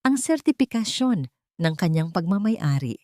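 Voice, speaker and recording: A woman with a Filipino accent narrates a scripted audio drama in a measured, solemn, theatrical way. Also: fairly low pitch, big pitch swings, a little mellow, no room sound, clean studio sound.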